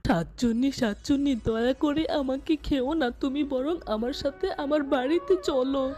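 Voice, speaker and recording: A woman's voice: sits high, moderate pace, natural voice. A woman voicing a cowardly village man who exclaims and begs in a children's cartoon. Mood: pleading. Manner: pleading, exclaiming. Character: cowardly village fruit-grower man begging for his life.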